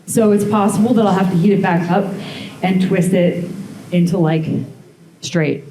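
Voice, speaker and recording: A woman's voice: lowish voice, normal speed, a little hiss or hum. A young woman blacksmith talking casually through a live demonstration, thinking aloud as she works. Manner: relaxed, thinking aloud while working. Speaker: blacksmith giving a demo. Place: forge workshop at a hammer-in event. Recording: echoey workshop with faint ambience.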